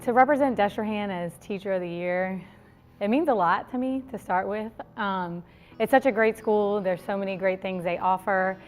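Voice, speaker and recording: A woman's voice: mid-range voice, moderate pace, faint music behind. A woman teacher speaking proudly and from the heart in an interview. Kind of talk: interview testimony.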